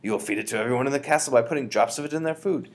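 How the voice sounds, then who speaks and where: A man's voice: mid-range voice, racing, pitched above the performer's own voice, close to an everyday voice. A man voicing a feisty, bold old grandmother in a storybook, emphatic and commanding.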